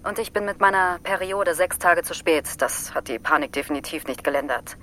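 A woman's voice: lowish voice, a little fast, fairly bright tone, natural voice. An actress playing a female astronaut on a Mars base, dictating candid personal log entries in a matter-of-fact way, with a faint hum behind her. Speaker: crew member.

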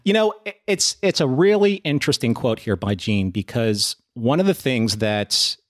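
A man of average pitch talks as a podcast guest. He is reflective and deliberate, building long phrases as he thinks aloud. The sound is dry and close. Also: lively intonation, no echo. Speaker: podcast co-host. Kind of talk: reflective conversational commentary.

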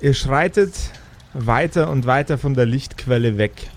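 A man narrating an improvised fantasy tabletop game as game master, in a measured, searching way. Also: mid-range voice.

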